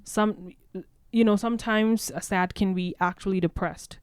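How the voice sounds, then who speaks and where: A woman's voice: mid-range voice, steady tone, moderate pace, smooth, dry recording, clean studio sound. A woman social worker talking casually on a podcast, often tagging her points with "right?". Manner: conversational, leans on "right?" tags.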